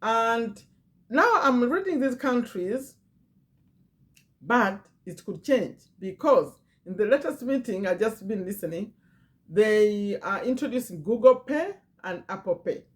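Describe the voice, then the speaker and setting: A woman's voice: mid-range voice, expressive, unhurried. A middle-aged woman with a foreign accent, a business owner talking casually to camera, repeating phrases and reading a list aloud. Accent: non-native English. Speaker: business owner and channel host. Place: Virginia, USA.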